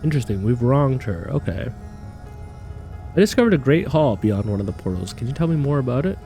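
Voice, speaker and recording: Musing male gamer with animated pitch. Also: brisk, soft background music. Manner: musing, speculative. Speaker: gamer doing a playthrough. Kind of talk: casual game commentary, thinking aloud.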